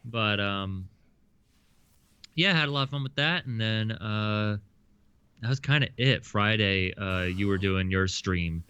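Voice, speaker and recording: A man co-hosting a gaming podcast with a smooth radio voice, laid-back and hesitant, with plenty of fillers. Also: on the low side, measured pace, bright, forceful tone. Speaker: podcast co-host. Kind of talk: casual gaming chat.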